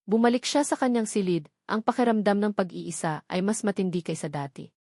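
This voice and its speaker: Female storybook narrator, even and measured, mid-pitched, dry close sound. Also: no echo. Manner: even, measured reading with short dramatic sentences.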